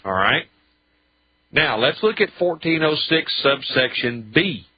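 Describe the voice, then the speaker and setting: A man's voice: mid-range voice, very animated, unhurried, dry recording. American man lecturing on muffled talk radio. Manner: emphatic, methodical, spells out points step by step. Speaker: radio show commentator on traffic law. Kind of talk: explanatory legal commentary on a talk show. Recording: dull lo-fi talk radio audio.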